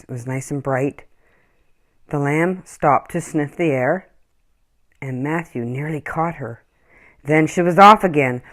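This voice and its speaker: A woman reading a children's story aloud in an expressive, acted-out storytelling style. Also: deep voice. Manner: animated, acts out dialogue and animal sounds. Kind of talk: expressive scripted storybook narration.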